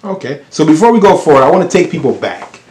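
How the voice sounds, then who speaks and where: A man hosting a casual interview, relaxed and often repeating words as he shapes his questions. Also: a little high, fast, reverberant. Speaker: interview host.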